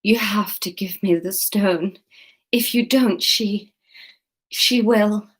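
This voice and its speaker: A woman's voice: mid-range voice, takes it slowly, breathy edge. A woman voicing a desperate, tearful young woman. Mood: faltering. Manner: pleading, tearful, urgent.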